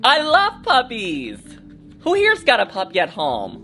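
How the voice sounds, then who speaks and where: A woman's voice: fairly high pitch, huge pitch swings, a little slow, a bit bright, soft background music. A woman reading a children's picture book aloud in a theatrical, encouraging manner, often addressing young listeners directly. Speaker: drag artist storytime reader.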